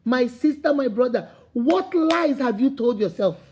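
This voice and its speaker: A woman's voice: high voice, expressive, unhurried, a little mellow, a little hiss or hum. A woman with a Nigerian accent giving an impassioned motivational talk, repeating her points and building to emphatic calls. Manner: preachy, repetitive, rising to emphatic calls. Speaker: motivational speaker.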